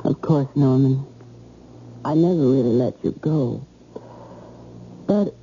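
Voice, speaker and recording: A woman's voice: extremely low pitch, slow pace, mellow, heavily acted. A woman playing a devoted, anxious wife in an old-time radio drama, coaxing and emotive. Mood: anxious hope. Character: devoted, soothing, anxious wife of a troubled man. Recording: dull, hissy old radio recording.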